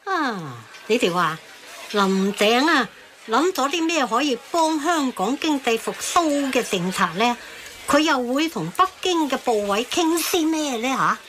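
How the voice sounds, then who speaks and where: Lively Cantonese auntie. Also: female voice, high-pitched, unhurried, slight background noise, exaggerated voice. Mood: inquisitive. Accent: Hong Kong Cantonese. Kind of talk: radio drama acting.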